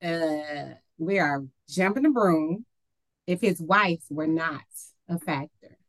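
A woman's voice: low-pitched, expressive, slow pace, dry recording. A woman co-hosting a podcast, chatting with playful, joking asides. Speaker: podcast co-host. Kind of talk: conversational podcast chat.

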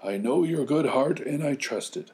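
A man's voice: a little high, animated, moderate pace, darkish tone, pitched above the performer's own voice. A man voicing a kindly, eager but frail old village grandfather in a storybook tale. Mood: tender, trusting.

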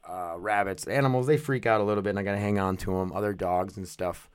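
A man's voice: lowish voice, steady tone, quick pace, clear, resonant tone, no echo, clean studio sound. A young man, rambling casually to his viewers, often restarting and laughing at himself. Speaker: vlogger.

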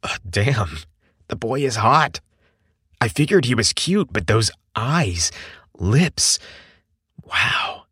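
Man acting out shy young man, audiobook romance, kind, hesitant, soft-spoken, nervous, close dry sound. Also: mid-range voice, big pitch swings, takes it slowly, pauses often, breathy edge, dry recording, full character voice. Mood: awestruck.

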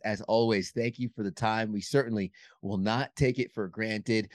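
A man's voice: lowish voice, no room sound, studio quality. A man hosting a podcast interview, talking in an earnest, upbeat way with some restarts. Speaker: podcast host.

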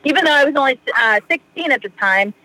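Bright-voiced middle-aged woman telling her story. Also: high voice, expressive, no echo, low-quality recording. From Massachusetts. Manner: rambling, many fillers, quick laughs. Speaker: podcast guest. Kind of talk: casual storytelling in an interview.

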